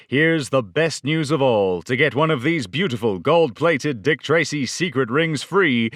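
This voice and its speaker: A man's voice: mid-range voice, fairly bright tone, no echo, clean studio sound. Man as an emphatic old-time radio announcer. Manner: emphatic, salesman-like. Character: brisk, enthusiastic 1930s radio announcer and pitchman.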